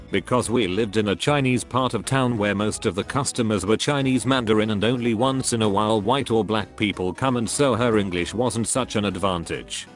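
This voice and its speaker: A computer-generated male voice reading forum stories aloud in a flat, even way, spelling out symbols literally. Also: fairly low pitch. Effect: text-to-speech voice. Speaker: narrator reading posts.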